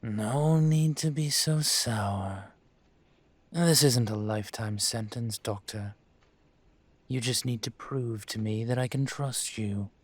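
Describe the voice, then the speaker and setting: A man playing a suave, menacing dragon mafia boss in an audio roleplay, measured and condescending with a teasing edge. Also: medium pitch, no room sound. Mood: formal, composed. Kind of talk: scripted audio roleplay, intimate.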